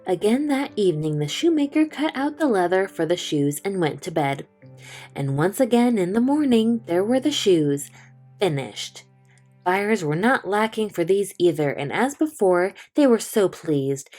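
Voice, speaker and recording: A woman reading a children's storybook aloud, slow, gentle and expressive. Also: mid-range voice, soft background music. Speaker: children's book reader. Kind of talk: storytime read-aloud narration.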